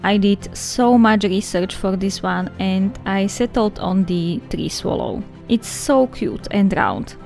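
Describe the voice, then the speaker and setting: A woman's voice: medium pitch, animated, slow pace, creaky, hardly any pauses, darkish tone. This is a woman with a Slovak accent whose first language is Slovak, talking casually to her viewers as she walks through her art. Her voice sits in a middle register, and she chats in an easy, friendly way. Faint background music plays under her voice. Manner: friendly, chatty, addresses viewers as guys. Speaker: art vlogger. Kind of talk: casual sketchbook flip-through narration.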